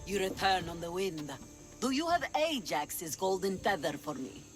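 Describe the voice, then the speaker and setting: A woman's voice: lowish voice, sing-song, moderate pace, music in the background. A middle-aged pirate queen in a video game. She is sly and imperious, savouring her words with languid, teasing theatricality over a musical score. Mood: silky, pleased. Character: imperious, sly, treasure-loving older female pirate queen. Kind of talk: video game cutscene acting.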